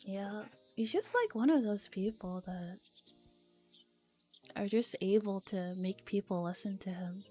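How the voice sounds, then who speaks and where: A woman's voice: mid-range voice, lively intonation, a little slow, dark tone, faint music behind. A woman streamer giving casual, playful, informal commentary while reading a visual novel.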